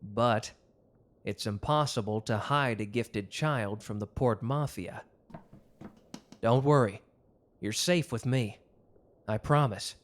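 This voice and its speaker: A man's voice: mid-range voice, slow, pauses often, lightly acted. A man playing a protective, devoted mafia lover with a hot temper, speaking earnestly in an intimate romantic audio drama.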